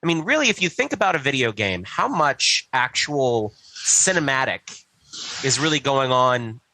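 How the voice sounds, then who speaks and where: Bright-voiced man explaining things casually. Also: mid-range voice, very animated, normal speed, a little hiss or hum, poor audio. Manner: explanatory, checks in with "right" and "you know". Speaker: podcast co-host.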